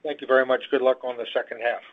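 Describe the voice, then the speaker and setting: A man's voice: mid-range voice, little pitch movement, rapid-fire. A man calling in as an analyst, asking polite questions on an earnings call. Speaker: analyst caller. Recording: tinny phone line.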